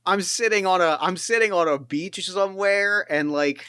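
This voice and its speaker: Guy gm rambling thru a tabletop game, smooth clear voice, dry close mic, lots of uh and like. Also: sits high, moderate pace, bright, forceful tone, no room sound. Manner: rambling, many fillers and restarts. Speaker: game master. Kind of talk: casual tabletop play and narration.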